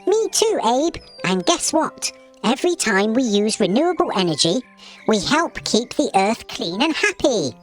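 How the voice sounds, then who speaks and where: Storybook magical bug, male, little and cheerful: high-pitched, wildly swooping delivery, chirpy explainer, music underneath. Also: sing-song, a little slow, exaggerated voice. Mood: chirpy, excited. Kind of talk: children's storybook character voice.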